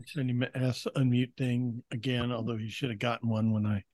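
A man's voice: medium pitch, flat delivery, fairly quick, darkish tone, dry recording. A man casually hosting an online meeting, giving practical instructions in a matter-of-fact way on a video call. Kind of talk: casual chat.

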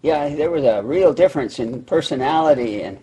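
A man with a husky voice, commenting conversationally as a participant in a group book discussion. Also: a little high, lively intonation, moderate pace, mellow, rough recording.

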